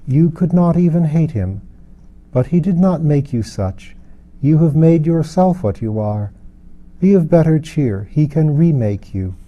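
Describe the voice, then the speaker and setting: A man voicing a grave, compassionate wise woman in a fantasy audiobook, speaking gently, slowly and with calm patience. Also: fairly high pitch, highs cut off, voice pushed up. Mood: gently firm. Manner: gentle, slow, solemn. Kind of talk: dramatised audiobook reading of a fantasy novel.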